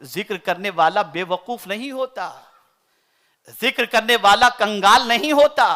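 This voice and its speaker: A man from India, preaching a sermon in an oratorical style that swings between loud declaiming and soft recitation. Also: high voice, unhurried. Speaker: Islamic preacher.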